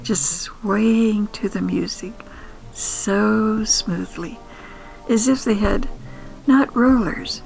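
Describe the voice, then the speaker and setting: An older woman telling nostalgic first-person stories in an intimate, wistful, sentimental manner, with musing pauses. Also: mid-range voice, creaky, darkish tone, soft background music, rough recording. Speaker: podcast host and storyteller.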